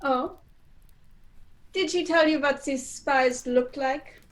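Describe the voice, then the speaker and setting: A high-pitched female villain of a radio play, acted by a young woman. She is a spy chief with a respectable front, suave and polite, laying out threats without hurry. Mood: suave menace. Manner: polite, unhurried menace. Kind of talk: amateur radio play acting. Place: indoors, performed over a video call.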